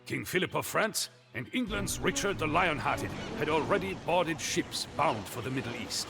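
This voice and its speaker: Gravelly old storyteller, animated delivery. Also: male voice, on the high side. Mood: dramatic storytelling. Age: older. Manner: theatrical, measured, emphatic phrasing. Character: old man, dramatic storyteller of a medieval emperor's rise and fall. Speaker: narrator. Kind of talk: scripted dramatic storytelling narration for a game cutscene. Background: loud music.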